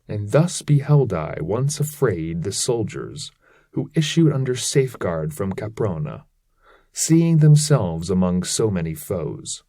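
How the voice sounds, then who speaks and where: Guy reading old epic poem out loud, slow n dramatic, mellow voice, declamatory. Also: lowish voice, very animated, no room sound, clean studio sound. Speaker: reader.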